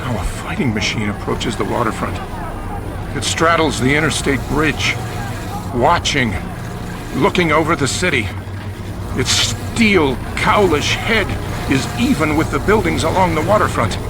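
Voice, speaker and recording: A man's voice: fairly high pitch, lively intonation, a little slow, long pauses, noisy background. An actor playing a male news reporter giving a dramatic eyewitness account in short phrases for a radio drama. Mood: alarmed.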